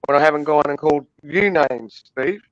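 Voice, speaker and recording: A man's voice: on the high side, moderate pace, dry recording. Indignant man, muffled dry sound. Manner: indignant, swears often, complains at length. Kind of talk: heated casual chat.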